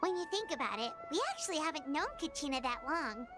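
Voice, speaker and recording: An actress voicing a tiny, excitable female companion creature from a game, with game music behind. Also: high-pitched, quick pace. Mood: chirpy. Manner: excitable, bouncy, childlike.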